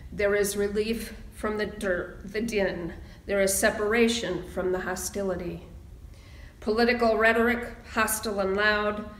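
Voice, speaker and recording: Slow-speaking woman preacher in a roomy space. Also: mid-range voice, a bit bright. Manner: measured, deliberate, with pauses for emphasis. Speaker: minister leading a church service. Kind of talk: sermon and slow, solemn readings. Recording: roomy, echoing sound.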